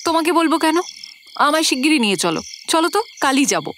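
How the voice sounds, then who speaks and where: A woman's voice: high-pitched, very animated, a little fast, fairly bright tone. A woman in a radio drama playing a pert young woman, curious, teasing and easily piqued, with faint noise behind her. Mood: piqued, insistent. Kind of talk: Bengali radio drama acting.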